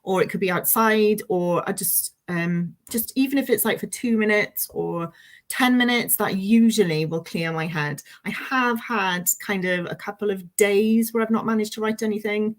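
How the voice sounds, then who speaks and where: A woman's voice: medium pitch, moderate pace. A woman speaking as a guest author in a casual interview, informal, enthusiastic and self-deprecating.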